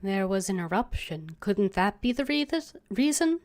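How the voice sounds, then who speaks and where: Woman, game streamer, unhurried pace, mid-pitched voice, casual commentary and dialogue reading. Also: lively intonation.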